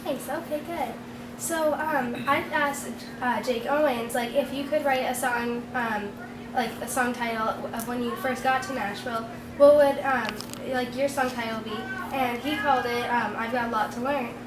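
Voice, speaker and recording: A woman's voice: a little high, steady tone, moderate pace. A woman hosting a radio interview backstage, asking casual, upbeat questions filled with "like" and "um". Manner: upbeat, heavy use of "like" and "um". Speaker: interviewer, radio show host. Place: backstage at a concert venue. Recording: echoey, distant mic, background noise.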